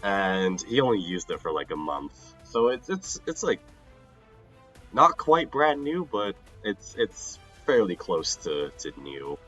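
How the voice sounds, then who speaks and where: A fairly low-voiced young man, slightly nasal from a cold, chats loosely on a gaming stream. His pitch swings widely as he rambles and restarts his sentences. The recording is a bit dull, with faint game music underneath. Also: sing-song, takes it slowly, a bit bright. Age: young adult. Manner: rambling, self-interrupting, laughs often. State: a little sick and tired. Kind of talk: casual livestream chat while gaming.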